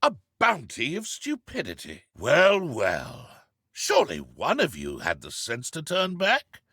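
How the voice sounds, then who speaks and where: An actor playing a sneering, menacing British poacher and dark wizard in a video game, taunting with mock politeness and contempt. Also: male voice, fairly high pitch, wildly swooping pitch, unhurried, husky, no room sound, studio quality, full character voice. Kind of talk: video game villain combat barks.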